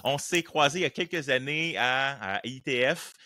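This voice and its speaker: A man's voice: medium pitch, moderate pace, bright, forceful tone, no echo, studio quality. A middle-aged man hosting a podcast interview, joking and laughing often. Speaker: podcast host. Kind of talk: casual interview chat.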